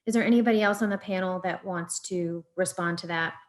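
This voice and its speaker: A woman's voice: lowish voice, brisk. Encouraging woman moderating online. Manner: encouraging, loose phrasing. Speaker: panel moderator. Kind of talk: moderating a panel. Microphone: video call.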